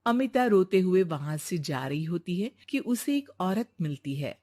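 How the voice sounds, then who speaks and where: A woman's voice: fairly low pitch, sing-song, a little slow, warm tone. A woman narrating a scripted story as the storyteller.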